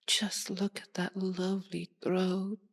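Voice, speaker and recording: A woman's voice: fairly low pitch, little pitch movement, takes it slowly. A woman voicing a sadistic, possessive female vampire in an intimate ASMR roleplay, purring and domineering, often dropping toward a whisper. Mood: menacing, pleased.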